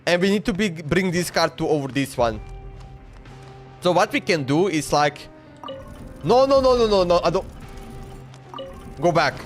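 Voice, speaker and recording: High-pitched male streamer, casual gameplay commentary, thinking aloud, repetitive phrasing, faint music behind. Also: expressive, normal speed, long pauses. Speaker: livestreamer playing a video game. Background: game music.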